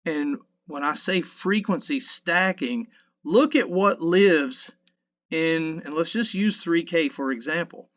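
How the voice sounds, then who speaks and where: A man in his fifties with a low mid voice, casually talking through a mixing tutorial in a rambling, self-correcting, folksy way. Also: slow, a bit bright, muffled recording. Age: 50s. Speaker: sound engineer teaching mixing. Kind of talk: casual screen-recorded tutorial. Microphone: dynamic vocal mic.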